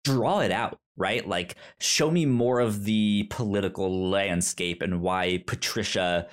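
A man's voice: lowish voice, unhurried. A man co-hosting a podcast, chatting casually about a film, who often stammers and restarts his words. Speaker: podcast co-host. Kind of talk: casual chat.

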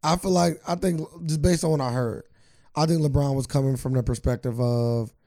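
A man co-hosting a casual sports podcast, laid-back and reasoned, explaining his view calmly. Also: medium pitch, brisk, no echo, studio quality. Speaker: podcast co-host.

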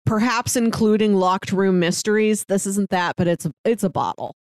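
A woman talks in a relaxed, conversational podcast style as a co-host discussing films. Her pitch is average for a woman, and the sound is dry, with no room echo. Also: moderate pace. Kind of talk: casual film discussion chat.

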